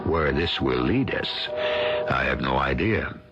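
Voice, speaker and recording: A man playing the grave, theatrical host of macabre mystery tales, narrating in a measured vintage radio style. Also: rumbling low, expressive, exaggerated voice. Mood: ominous, measured. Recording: dull old radio recording with loud music.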